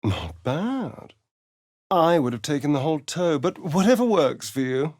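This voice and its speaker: A scheming, sardonic male vampire rogue from a video game. His delivery is arch and theatrical, swinging widely in pitch while taking its time over each phrase. The sound is dry and close, with a vain, sarcastic edge. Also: sing-song, unhurried, a little mellow, no echo. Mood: sarcastic, playful. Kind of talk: video game character voice lines.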